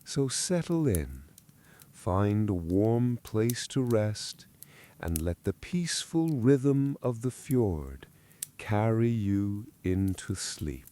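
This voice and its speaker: A man narrating a guided relaxation and bedtime story in a gentle, calm, unhurried and soothing way, close to the microphone. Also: fairly low pitch.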